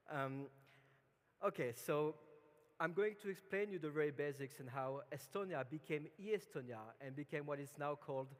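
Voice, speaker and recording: A man from France with a French accent, giving a relaxed, chatty conference talk with many fillers and restarts. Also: mid-range voice. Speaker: conference speaker. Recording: long hall reverb.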